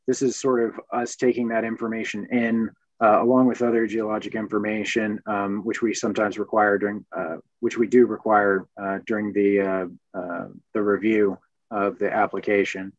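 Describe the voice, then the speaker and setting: A man's voice: lowish voice, flat delivery, moderate pace, dry recording. A man on a panel explaining his agency's review process, hesitant with many fillers, over a video call. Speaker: regulator panelist. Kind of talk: panel discussion. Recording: dull dry video-call audio.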